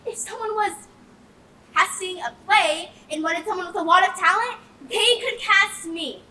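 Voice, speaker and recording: A woman's voice: extremely high pitch, a little slow, sharp tone, exaggerated voice. A young woman on stage playing a jealous, attention-hungry card dealer with theatrical, boastful delivery, in a school comedy. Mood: boastful, self-important. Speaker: junior thespian performer. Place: echoey stage, recorded from a distance. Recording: echoey stage, distant mic, faint noise.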